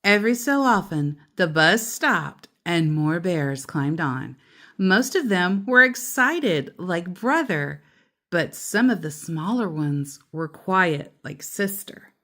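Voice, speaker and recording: A woman storyteller reading a children's book aloud with expressive, deliberate, emphatic phrasing and casual asides. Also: on the low side.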